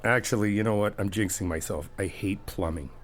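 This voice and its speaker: A man's voice: on the low side, fairly quick, slight background noise. A man doing a friendly ASMR roleplay, chatty and teasing, reassuring the listener as he helps out. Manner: reassuring, helpful.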